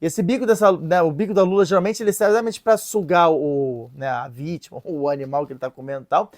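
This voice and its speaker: A woman presenting a casual lesson in an animated, joking way, stopping to read and translate sources. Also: low voice, quick pace. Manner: animated, playful, joking asides; reads and translates sources aloud. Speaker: religious video presenter.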